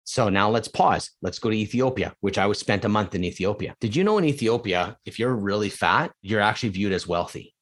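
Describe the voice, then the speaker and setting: A middle-aged man from Canada, a coach being interviewed, talking emphatically with rhetorical questions and swings between excited and quiet reflection. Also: fairly low pitch, brisk, hardly any pauses. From Canada (Edmonton). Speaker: real estate coach, guest. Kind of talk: casual podcast interview.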